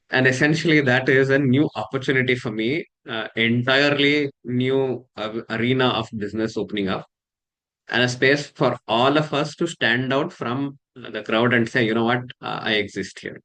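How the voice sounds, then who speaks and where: A man from Karnataka with an Indian English accent, a coach talking casually to camera, measured and halting with many fillers. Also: mid-range voice, fairly bright tone, low-quality recording.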